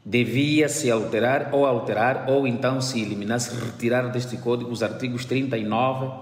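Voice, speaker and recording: Halting Angolan man in an echoey hall. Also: medium pitch, steady tone. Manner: halting, repeating words. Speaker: trade unionist and jurist. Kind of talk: spoken interview statement. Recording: echoey reverberant room.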